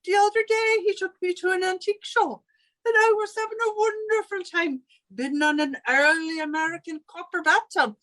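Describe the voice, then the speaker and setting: A woman's voice: very high-pitched, steady tone, fairly quick, fairly bright tone, poor audio. A woman playing a chatty, put-upon landlady who tells her grievances theatrically in 1940s radio comedy style. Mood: aggrieved. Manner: theatrical, aggrieved storytelling.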